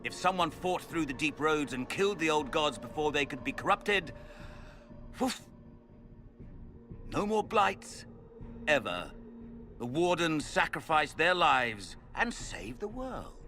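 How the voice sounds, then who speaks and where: Pompous swooping villain mage. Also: male voice, fairly high pitch, very animated, slow, long pauses, bright, forceful tone, soft background music, full character voice. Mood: mocking, sly. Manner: pompous, mocking, theatrical. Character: smug theatrical male villain, cultist mage lord. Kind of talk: video game cutscene acting.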